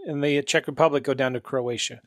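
A man's voice: mid-range voice, steady tone, fairly quick, no echo, studio quality. A man hosting a podcast interview, upbeat and chatty, laughing easily. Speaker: podcast host.

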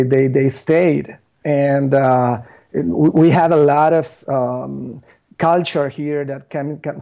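A man from Argentina with an Argentine Spanish accent, a podcast guest talking at a thoughtful pace with many pauses. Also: mid-range voice, gravelly, warm tone, muffled recording. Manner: thoughtful, many fillers and restarts. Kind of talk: casual interview chat.

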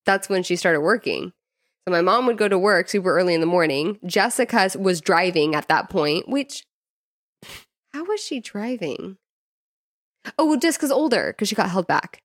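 Female co-host, conversational and informal, medium pitch, lots of "like", dry close sound. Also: lively intonation, normal speed, no room sound. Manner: informal, peppers speech with "like". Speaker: podcast co-host. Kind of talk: casual chat, personal storytelling.

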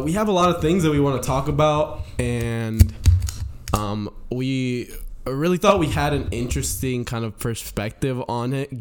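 A man in his twenties co-hosting a casual podcast with a friend, from New Jersey and the Dominican Republic, who laughs often and hesitates with many fillers. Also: medium pitch. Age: 20s. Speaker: podcast co-host. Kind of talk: casual chat between friends.

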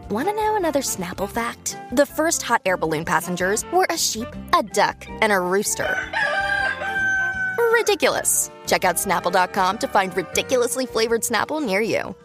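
A woman giving a perky, expressive scripted ad read. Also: medium pitch, moderate pace, music in the background. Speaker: ad reader.

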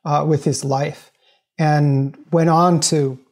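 A man's voice: fairly high pitch, little pitch movement, slow pace. A man from the United States, a professor, answering interview questions earnestly and explaining at length. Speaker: guest, professor.

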